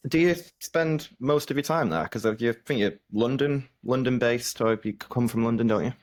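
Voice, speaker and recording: A man's voice: mid-range voice, normal speed. A man hosting a podcast, asking friendly questions with some hesitant restarts. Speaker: podcast host, interviewer.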